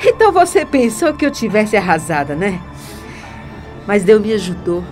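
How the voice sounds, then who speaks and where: A woman's voice: medium pitch, sing-song, normal speed, music in the background, natural voice. Actress as a composed, defiant Brazilian young woman. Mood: defiant, accusing. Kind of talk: Brazilian radio soap opera acting.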